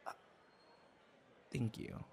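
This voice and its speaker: A man playing a bashful young man with a secret hobby in a boyfriend audio roleplay, talking in a halting, flustered, conversational way. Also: darkish tone. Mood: bashful, sincere. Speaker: ASMR audio roleplay performer. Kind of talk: intimate boyfriend ASMR roleplay acting. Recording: clear background noise, ambience.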